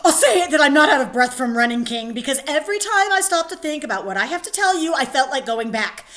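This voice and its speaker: A woman's voice: high-pitched, expressive, normal speed. A woman voicing a frightened male soldier who rambles nervously and stammers. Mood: flustered. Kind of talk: dramatic reading of a play.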